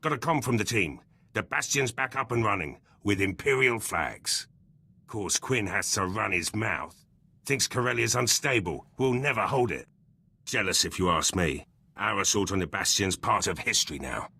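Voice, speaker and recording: An actor voicing a gruff, battle-hardened soldier in a video game, terse and blunt, speaking in clipped sentences. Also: male voice, on the low side, lively intonation, moderate pace, bright, forceful tone, full character voice. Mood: proud, matter-of-fact. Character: gruff, battle-hardened male military commando, loyal and brash.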